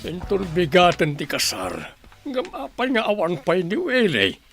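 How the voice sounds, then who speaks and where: A man's voice: high-pitched, wildly swooping pitch, unhurried, music in the background, full character voice. A man playing a devoted young groom-to-be, reassuring and teasing, in a radio-style drama. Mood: casual.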